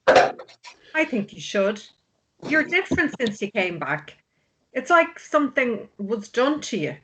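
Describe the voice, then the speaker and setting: A woman's voice: fairly high pitch, sing-song, takes it slowly, fairly bright tone, full character voice. A woman acting a concerned, frank friend in a drama script read. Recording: clearly noisy line with clicks.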